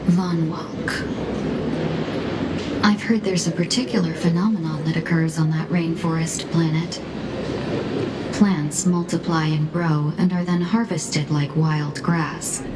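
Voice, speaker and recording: A woman playing a calm, gracious and knowing envoy in a video game, speaking deliberately. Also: low voice, heavy background noise. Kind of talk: scripted video game dialogue.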